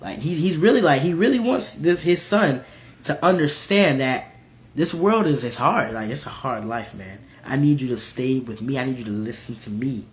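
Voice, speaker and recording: A man with a stuffy nose casually reading Bible verses aloud and explaining them in slangy, animated commentary. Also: mid-range voice, moderate pace. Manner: animated, slangy, acts out voices.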